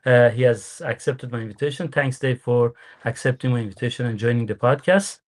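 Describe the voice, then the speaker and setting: A man's voice: medium pitch, steady tone, brisk. A man whose first language is Persian, hosting a podcast in English with friendly, slightly unidiomatic phrasing. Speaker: podcast host.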